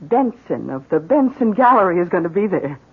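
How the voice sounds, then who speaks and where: An actress in a 1970s radio drama playing a worried, loyal farmhouse wife, heard through muffled broadcast sound. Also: female voice, on the low side, sing-song, moderate pace, husky, heavily acted. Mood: concerned, warm.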